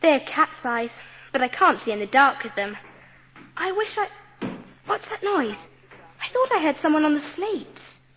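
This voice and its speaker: A woman's voice: high-pitched, lively intonation, a little slow, long pauses, a bit bright, a little hiss or hum. A woman voicing a brave, imaginative young schoolgirl with a composed manner in a dramatised audiobook. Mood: wistful.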